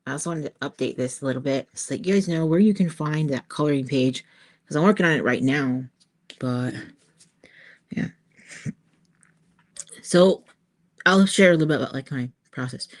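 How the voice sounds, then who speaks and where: Female, low register, informal creator chat, halting and unscripted. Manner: informal, halting, thinking aloud. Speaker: author-artist hosting a creative livestream. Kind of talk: casual chat to viewers while working on art.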